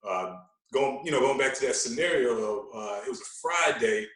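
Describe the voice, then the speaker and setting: A man speaking as a podcast guest in casual storytelling style, animated and given to repeating himself. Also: medium pitch, roomy sound, distant mic, rough recording. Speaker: podcast guest, radio personality.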